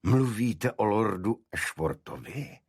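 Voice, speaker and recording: A man voicing a polite, sceptical Victorian gentleman, a detective's companion, in an audiobook. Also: on the low side, animated, slightly rough, no echo, studio quality, voice pushed up. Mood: curious, doubtful.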